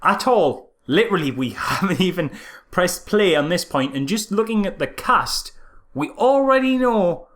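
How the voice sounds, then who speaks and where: Male podcast co-host, medium-pitched, casual and conversational, with a sarcastic, mock-impressed streak. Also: lively intonation, moderate pace, fairly bright tone. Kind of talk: casual film critique chat.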